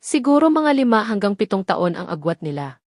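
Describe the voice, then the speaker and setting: A woman narrator voicing an older, knowing society matriarch in an audio drama, measured and composed, with a Filipino accent. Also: mid-range voice, very animated, darkish tone, dry recording, studio quality. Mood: thoughtful.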